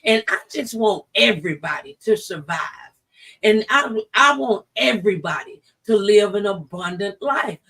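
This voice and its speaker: A woman's voice: mid-range voice, animated, normal speed, a bit bright, slightly rough, recorded from a distance. A woman hosting a talk show, speaking conversationally with an exhorting, encouraging style.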